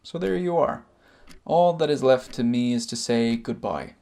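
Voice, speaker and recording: An Irish man acting a composed, sardonic middle-aged emigrant in a stage drama, speaking in measured, formal phrases. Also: medium pitch, creaky, a little mellow, natural voice. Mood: firm, sardonic.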